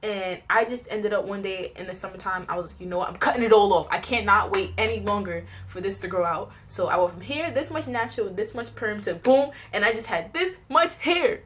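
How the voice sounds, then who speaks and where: A young woman tells personal stories in a casual, chatty vlog style, animated and giggly as she acts out little reactions. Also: medium pitch, a little fast, few pauses. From New York, United States. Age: young adult. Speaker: vlogger telling personal stories.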